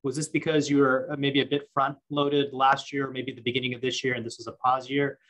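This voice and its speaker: A man asking informal questions as an analyst on an earnings call, in a halting way that repeats words. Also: mid-range voice, little pitch movement, a bit bright, rough recording.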